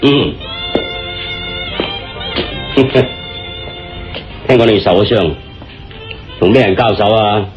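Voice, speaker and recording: A man with a Hong Kong Cantonese accent playing a genial, commanding police chief in a radio drama, speaking in a hearty, deliberate, theatrical way. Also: lowish voice. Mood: jovial, hearty. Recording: muffled lo-fi radio drama with music.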